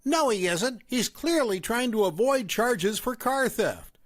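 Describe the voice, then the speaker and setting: A man's voice: very high voice, lively intonation, unhurried, no room sound, clean studio sound, pitched above the performer's own voice. A man voicing a hostile, entitled middle-aged mother who keeps accusing someone. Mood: shrill accusing.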